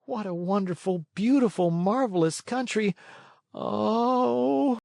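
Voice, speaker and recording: A man's voice: high voice, slow pace, creaky, a little mellow, no room sound, studio quality, pitched above the performer's own voice, exaggerated voice. A man voicing a wooden marionette boy in a storybook, earnest, easily tempted and given to exclaiming. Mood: amazed. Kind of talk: storybook character voice.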